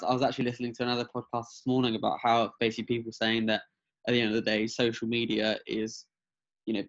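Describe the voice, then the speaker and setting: A young man in his twenties speaks earnestly over a video call, often restarting his sentences. His voice sits at an ordinary pitch for a man. The audio sounds slightly dull and lo-fi. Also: creaky. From England and France. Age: 20s. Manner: earnest, many restarts and fillers. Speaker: guest, mental health advocate.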